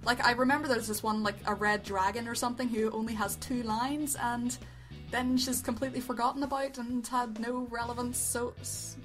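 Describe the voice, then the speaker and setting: A woman's voice: on the high side, steady tone, moderate pace, fairly bright tone, faint music behind, rough recording. A woman co-hosting an amateur review show, chatting casually and unscripted in a giggly, tentative, self-deprecating way.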